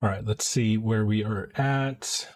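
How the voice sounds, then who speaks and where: A man's voice: fairly low pitch, measured pace, a little mellow. A man live streaming a game, giving casual commentary and reading dialogue aloud.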